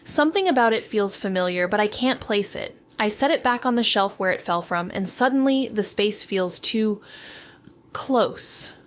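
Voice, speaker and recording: A woman's voice: mid-range voice, animated, normal speed, a little hiss or hum, close to an everyday voice. An actress playing a thoughtful, haunted young woman, narrating a noir audio drama in the first person in a hesitant, introspective way. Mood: introspective, uneasy. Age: young adult. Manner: hesitant, introspective, self-interrupting. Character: Mags, thoughtful haunted young woman, former teenage detective.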